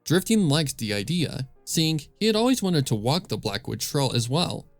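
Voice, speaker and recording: A man's voice: fairly high pitch, very animated, moderate pace, dry recording, soft background music. A man narrating scripted fan fiction as a storyteller, close on the microphone.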